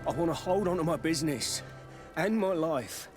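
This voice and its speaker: A man's voice: a little high, lively intonation, normal speed, lightly acted. A man playing a wary, blunt armorer in a video game, a guarded salesman. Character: wary, blunt male armorer and weapons merchant. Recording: music behind the voice.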